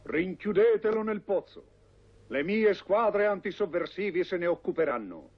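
An actor playing a cold, tyrannical cartoon king with a husky voice and a pompous, imperious manner. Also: high-pitched, lively intonation, moderate pace. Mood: menacing. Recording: dull lo-fi old cartoon soundtrack.

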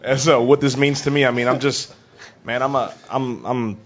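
A young man answering questions at a press conference, rambling and excited, full of restarts. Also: medium pitch, moderate pace. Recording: dull lo-fi press audio with faint noise.

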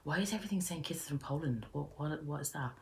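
Irish girl reacting to a music video, really deep voice for a woman, playful chat, drops to whispers. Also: fast. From Ireland. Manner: chatty and playful, with whispered asides. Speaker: YouTuber. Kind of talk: casual reaction commentary. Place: indoors at home.

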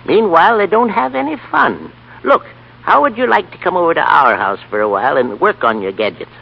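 A man's voice: on the high side, big pitch swings, brisk, creaky, slightly rough, dull audio, full character voice. An actor playing a carefree old grandfather, folksy, plain-spoken and easygoing, in a 1930s radio play. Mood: friendly, easygoing.

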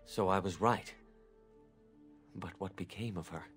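Game elf hunter, middle-aged, low voice, grave, subdued, bereaved. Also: male voice, measured pace, soft background music. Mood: subdued grief. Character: grieving middle-aged male elf hunter, gentle husband. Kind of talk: video game character acting.

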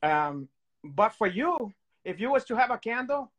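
A man's voice: high-pitched, sing-song, a little slow, fairly bright tone. A man in his thirties co-hosting a casual show over a video call, joking and teasing and rambling with many restarts. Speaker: co-host. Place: home room with candlelight.

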